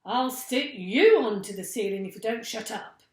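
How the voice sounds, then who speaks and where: A woman reading a children's storybook as an excitable, bossy male monkey father who barks out orders. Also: fairly high pitch, normal speed, lightly acted. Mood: snappy. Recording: echoey room.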